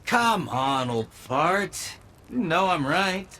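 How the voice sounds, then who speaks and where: A woman's voice: on the low side, sing-song, takes it slowly, fairly bright tone, full character voice. A woman playing a bratty, bossy, teasing youngster in a video game, with a cheeky, exaggerated way of talking. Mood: smug teasing. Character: bratty, bossy, teasing youngster who nags a grumpy warlord. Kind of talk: animated video game voice acting.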